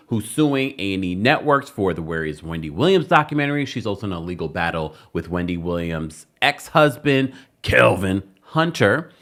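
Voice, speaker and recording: An American man hosting an entertainment news commentary show, speaking with emphatic, deliberate phrasing. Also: on the low side, fairly bright tone. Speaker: YouTube host, pop culture commentator.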